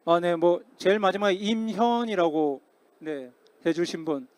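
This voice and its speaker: A man speaking as a technical expert in a formal conference Q&A, explaining politely and hesitantly with frequent pauses. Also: sits high, darkish tone, no room sound. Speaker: conference speaker, security company technical director answering questions. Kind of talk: formal Q&A after a presentation. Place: conference hall stage, amplified talk.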